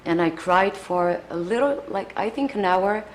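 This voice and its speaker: A young adult woman with a French accent, a singer answering interview questions in a casual, earnest way, often pausing to search for words. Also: mid-range voice, expressive, slight background noise, low-quality recording. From France.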